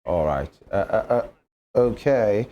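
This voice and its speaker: A man's voice: fairly low pitch, expressive, unhurried, highs cut off, noisy background. A man hosting a live morning TV talk show, chiming in and probing with questions. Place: television studio.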